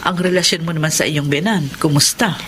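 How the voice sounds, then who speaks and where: A woman's voice: sits low, a little fast, fairly bright tone, a little hiss or hum. A woman acting a kindly, civic-minded older matron in a Filipino radio drama, earnest and caring. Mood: fond, concerned.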